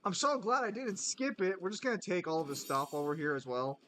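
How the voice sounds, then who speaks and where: A man from the United States streaming a game and chatting casually with friends, animated and swearing freely. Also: high voice, fast. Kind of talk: casual gaming stream chat.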